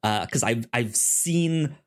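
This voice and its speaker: Halting man chatting on a podcast. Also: mid-range voice, animated. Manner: halting, stammering, repeats words. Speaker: podcast co-host. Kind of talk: casual chat pitching films on a movie podcast.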